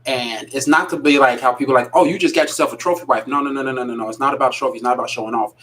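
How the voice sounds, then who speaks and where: A man's voice: mid-range voice, rapid-fire, fairly bright tone. A man co-hosting a podcast, talking earnestly and repeating phrases for emphasis. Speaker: podcast co-host. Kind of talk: casual chat.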